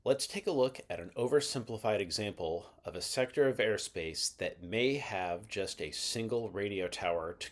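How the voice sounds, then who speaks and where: A man with a fairly low voice narrates an instructional explainer. His delivery is scripted and measured, laying things out methodically and clearly step by step.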